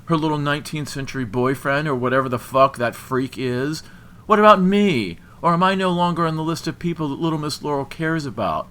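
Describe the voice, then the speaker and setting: A man's voice: on the high side, brisk, sharp tone, voice pushed up. A man voicing a drunken, bitter young man in an audiobook, growling and taunting crudely. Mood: bitter, furious. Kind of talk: audiobook character dialogue.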